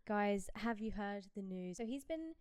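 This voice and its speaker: A woman's voice: medium pitch, animated, unhurried, a little mellow, clean tone, no room sound, clean studio sound. A British woman co-hosting a podcast in casual, gossipy chat about pop culture, swearing casually. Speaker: podcast co-host.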